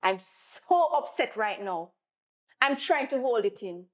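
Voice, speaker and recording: A woman playing a high-voiced, churchgoing wife. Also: animated, measured pace. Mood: upset. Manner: pleading, then furious. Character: churchgoing wife, emotional, righteous.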